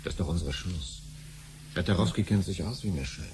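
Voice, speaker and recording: An actor playing a cautious male client in a 1970s radio play, halting and reserved. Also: deep voice, a little mellow, a little hiss or hum, close to an everyday voice.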